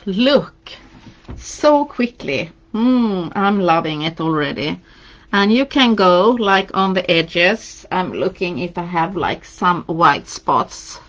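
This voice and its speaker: Slow-spoken woman with a Swedish accent. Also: fairly low pitch, a bit bright, highs cut off. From Sweden. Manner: chatty, encouraging, reads out viewer comments while painting. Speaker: craft demonstrator. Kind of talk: live craft tutorial. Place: indoors at a craft table.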